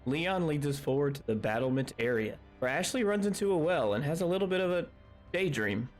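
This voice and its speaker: A man's voice: fairly high pitch, lively intonation, a little fast, faint music behind. A man giving recap voice-over narration for a gaming video.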